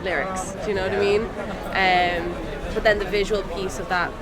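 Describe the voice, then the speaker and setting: A woman's voice: medium pitch, little pitch movement, normal speed, loud music behind the voice, a loud crowd, poor audio. A young Irish woman, a musician, talking casually in an interview at an outdoor café terrace, loose and chatty. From Ireland. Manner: loose and chatty, full of "like" and "you know".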